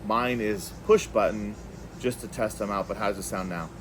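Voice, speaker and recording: A man's voice: lowish voice, moderate pace, a little hiss or hum. A man hosting a casual, unscripted product review, talking conversationally and thinking aloud as he goes. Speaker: product reviewer, video host.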